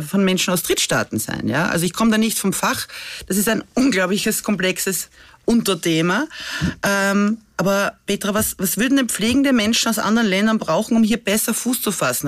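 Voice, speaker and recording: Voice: medium pitch, no room sound. A podcast host, asking rambling questions with hesitations. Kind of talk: podcast discussion.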